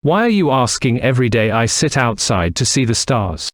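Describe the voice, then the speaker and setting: A man's voice: medium pitch, big pitch swings, normal speed, no room sound, clean studio sound, lightly acted. A man playing a stubborn, dismissive village farmer who argues insistently in a cartoon moral story. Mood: irritated.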